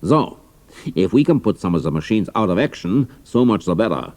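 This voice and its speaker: Pompous German commander, low gravelly voice, formal, authoritative, audio drama. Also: male voice, big pitch swings, fairly quick, darkish tone. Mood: commanding, pompous.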